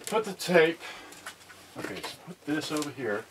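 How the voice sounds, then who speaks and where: A man narrating an unboxing off the cuff in a casual, halting way, thinking aloud in broken fragments. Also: on the high side, sing-song. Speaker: vlogger unboxing and testing a vintage cassette radio. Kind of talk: unscripted casual narration while handling items. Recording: distant mic, loud noise, clicks and handling.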